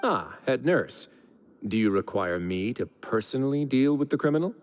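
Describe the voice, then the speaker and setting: A man's voice: mid-range voice, lively intonation, normal speed, a little hiss or hum, lightly acted. A man voicing a self-assured prison boss in a video game, wry, nonchalant and businesslike. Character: wry, self-assured male prison duke, casually in command. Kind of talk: video game character voice acting.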